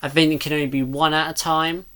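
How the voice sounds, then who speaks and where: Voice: mid-range voice, flat delivery, fast talker, a bit bright, smooth. A person giving casual, rambling reaction commentary, thinking aloud and speculating as the host.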